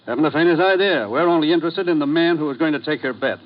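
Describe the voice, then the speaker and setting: An actor playing an authoritative commissioner briefing his agent in a 1950s radio drama, crisp and businesslike. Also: on the high side, fast, highs cut off, lightly acted. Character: brisk authoritative male commissioner giving orders.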